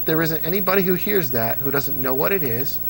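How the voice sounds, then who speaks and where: A man's voice: a little high, lively intonation, brisk, faint music behind, poor audio. A man hosting a casual interview, telling stories with enthusiasm and frequent restarts. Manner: enthusiastic storyteller, restarts often. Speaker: interview host. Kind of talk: casual nostalgic interview chat.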